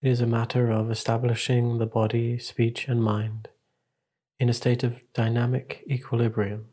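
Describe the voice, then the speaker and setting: A man leading a guided meditation as a teacher, speaking in a calm, measured, instructive way with long pauses. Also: mid-range voice, husky.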